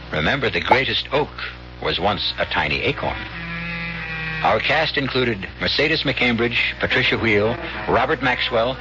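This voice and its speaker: A man's voice: mid-range voice, gravelly. A man hosting an old-time radio drama with theatrical scripted narration, wry and speaking straight to the listener. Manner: wry, conversational, addresses listener. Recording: muffled old radio broadcast, music behind.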